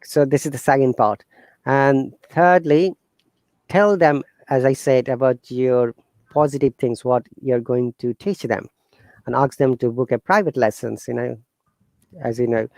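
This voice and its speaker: Man, Bangladeshi accent, mid-pitched voice, dry close sound, earnest halting delivery. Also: no room sound. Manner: earnest, animated, halting with fillers and restarts. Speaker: guest teacher. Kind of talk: casual interview talk.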